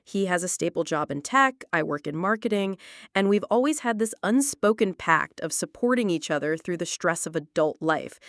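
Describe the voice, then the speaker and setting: Woman, late twenties, confessional storyteller; lively intonation, dry close mic. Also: medium pitch, no room sound. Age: late 20s. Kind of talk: first-person storytelling narration.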